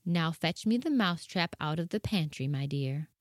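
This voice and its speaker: A man voicing a kindly fairy godmother in a storybook reading, speaking gently and reassuringly. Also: on the high side, expressive, normal speed, no echo, clean studio sound, pitched above the performer's own voice, close to an everyday voice.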